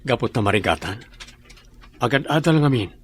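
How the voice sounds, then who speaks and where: A man's voice: medium pitch, animated, moderate pace, slightly rough. A man with a Filipino accent acting as a sober male boss in an Ilocano radio drama. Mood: explaining.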